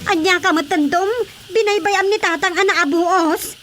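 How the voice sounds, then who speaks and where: An actress playing a shrill female relative with an Ilocano Filipino accent in a comedic household radio drama. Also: moderate pace, over music. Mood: scolding.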